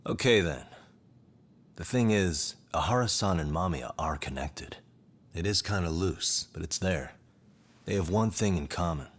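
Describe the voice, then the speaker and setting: An actor playing a cool, persistent private detective in an English-dubbed game drama, questioning in a deliberate way. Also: male voice, deep voice, animated. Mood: probing, calm.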